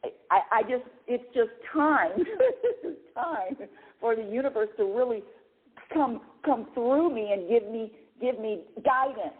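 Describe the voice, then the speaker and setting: A woman's voice: on the high side, measured pace. A middle-aged woman hosting a spiritual radio talk show, talking animatedly with frequent restarts, repeated words and laughs. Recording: phone-like lo-fi line.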